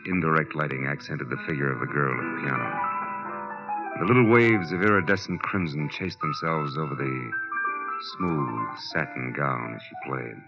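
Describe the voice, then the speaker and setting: An actor playing a wry, world-weary private detective in 1940s radio noir style, dry and sardonic. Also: male voice, extremely low pitch, vocal fry, exaggerated voice. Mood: brooding narration. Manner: dry, hard-boiled, sardonic. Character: wry, tough, world-weary male private detective narrating his case. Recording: muffled old radio recording with music.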